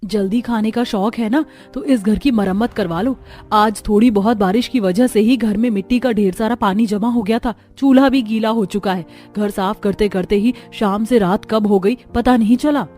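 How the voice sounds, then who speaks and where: A woman's voice: medium pitch, moderate pace, dark tone, faint music behind, natural voice. A woman voicing young village daughters-in-law in a moral-story cartoon, theatrical and exclamatory as they bicker and complain. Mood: scolding.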